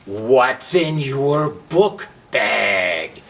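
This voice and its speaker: A man voicing a nosy, disapproving talking match from a storybook, with stern, drawn-out scolding. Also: medium pitch, far from the mic, heavily acted. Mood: stern, suspicious. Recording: clear steady hum of background noise.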